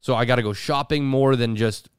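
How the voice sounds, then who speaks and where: A man in his thirties co-hosting a casual podcast, talking in an animated way and acting out quoted voices. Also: medium pitch, brisk, clean tone, no room sound, studio quality. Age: 30s. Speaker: podcast co-host.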